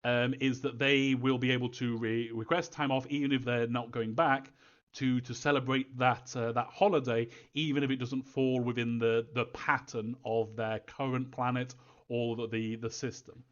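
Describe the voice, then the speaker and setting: A man answering fan questions in an informal live Q&A, thinking aloud in a halting, rambling way, as a developer. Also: medium pitch, little pitch movement, fairly bright tone, muffled recording.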